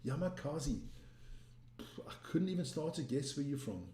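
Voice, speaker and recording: A man with a South African English accent talks casually on a live stream, rambling and answering viewers as he goes. His voice sits a bit high with a mellow, soft tone. The room around him is roomy and echoey. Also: animated, moderate pace. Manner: rambling, chatty, reading viewer comments aloud. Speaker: livestream host.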